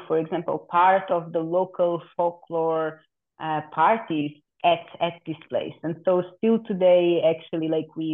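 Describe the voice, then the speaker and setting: Female interview guest, casual and halting delivery with repeated words, thin phone-band audio. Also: lowish voice. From Portugal. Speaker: interview guest, community land steward. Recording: narrow phone-like call audio.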